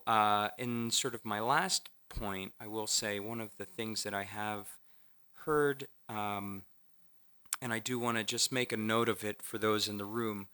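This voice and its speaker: A man speaking for a government on a conference panel, measured and diplomatic. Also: on the low side, sharp tone, smooth. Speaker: government trade official. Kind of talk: prepared remarks on a panel. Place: lecture hall at a conference.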